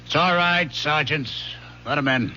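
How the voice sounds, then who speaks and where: An actor playing a world-weary middle-aged police lieutenant in a 1970s radio drama, gruff but fatherly. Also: fairly high pitch, unhurried, natural voice. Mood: firm, knowing. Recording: dull, hissy old radio broadcast.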